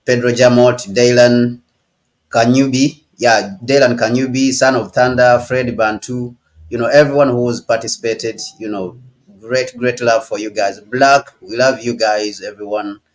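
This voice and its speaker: A man's voice: medium pitch, steady tone, a little slow, poor audio. A man from Ghana and Uganda, a guest giving political commentary on a live stream, who often repeats words and says "you know".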